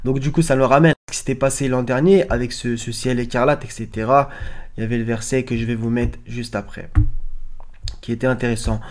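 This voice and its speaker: A man's voice: mid-range voice, steady tone, fairly quick, a little mellow. A man hosting an online video with informal, rambling commentary on on-screen images, explaining insistently and often trailing off. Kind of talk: informal screen-share commentary on film posters.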